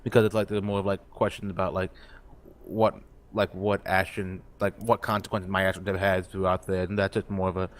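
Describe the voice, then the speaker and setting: Man, deep low pitch, casual gamer chat, hesitant, rambling, hedging. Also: flat delivery, brisk, slight background noise. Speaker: role-play game player.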